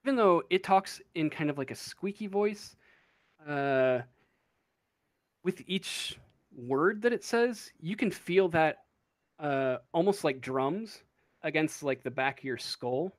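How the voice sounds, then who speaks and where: A man's voice: on the high side, lively intonation, slow, no room sound, studio quality. A man narrating a tabletop role-playing game as game master, improvising and searching for his words.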